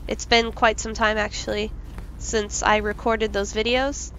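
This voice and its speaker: A woman with a stuffy nose, commenting casually and excitably on a video game as its let's play host. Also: fairly high pitch, measured pace, muffled recording, slight background noise. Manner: excitable, bursts into yelps and laughs. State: stuffy from a cold.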